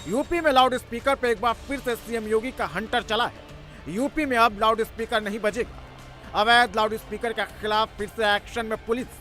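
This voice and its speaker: A man's voice: high-pitched, normal speed, pushed and bright, faint music behind. A man narrating a television news report from a script in an emphatic, dramatic, rhetorical style.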